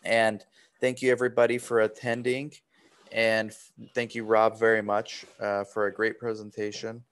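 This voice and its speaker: A man's voice: on the low side, flat delivery, normal speed, a bit bright. A man from Romania, raised in the US, moderating a webinar in an informal, chatty way with questions and thanks. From born in Romania, raised in the US from age three. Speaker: webinar host.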